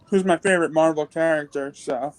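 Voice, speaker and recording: A man chatting casually as a guest, halting and stumbling over his words. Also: a little high, no echo. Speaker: guest on review show. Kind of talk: casual chat.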